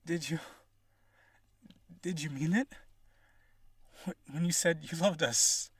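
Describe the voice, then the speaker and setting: A man's voice: on the high side, slow pace, husky, dry recording, full character voice. A man playing a rich, devoted vampire aristocrat in a roleplay audio, earnest and pleading, with gushing, hesitant speech. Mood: shy, pleading.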